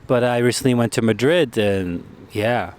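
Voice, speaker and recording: A man's voice: mid-range voice, normal speed, a little mellow, a little hiss or hum. A man interviewing a guest outdoors in a park, talking loosely with many restarts and "you know"s. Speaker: street interviewer.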